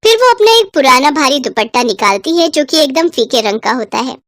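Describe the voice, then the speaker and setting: A woman narrating a children's moral cartoon story in a steady, clear way. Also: high voice, brisk, no room sound.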